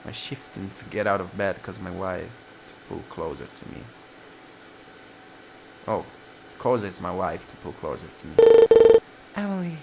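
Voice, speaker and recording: A man's voice: low voice, unhurried, creaky, very noisy. A man reading a visual novel aloud and commenting casually as a gaming host, with guitar music behind him. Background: plucked guitar music.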